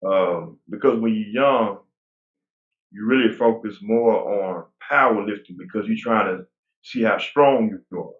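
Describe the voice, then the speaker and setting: A man's voice: fairly low pitch, a little slow. A man in his forties, a guest bodybuilder, talking casually and rambling with many restarts. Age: 40s. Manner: relaxed, rambling with frequent restarts. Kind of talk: casual interview talk. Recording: tinny phone-like line, roomy echo.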